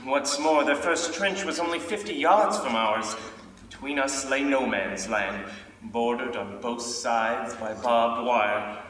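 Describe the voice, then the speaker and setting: A man performing an earnest soldier tells of war and wonder in a letter home. His mid-pitched voice is lyrical, carried from a distance across a reverberant stage. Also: steady tone, slow, a bit bright. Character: tender, awestruck British soldier writing to his sweetheart. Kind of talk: reader's theater storytelling. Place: large indoor theater stage. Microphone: far camera. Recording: echoey theater stage, distant, faint noise.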